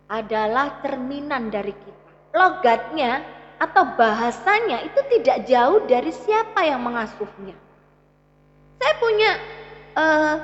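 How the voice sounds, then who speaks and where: A woman's voice: sits high, a bit bright. A woman with an East Javanese Indonesian accent giving a religious parenting talk, teaching emphatically and addressing parents directly with rhetorical questions. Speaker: Islamic parenting speaker. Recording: echoey hall, slightly muffled sound.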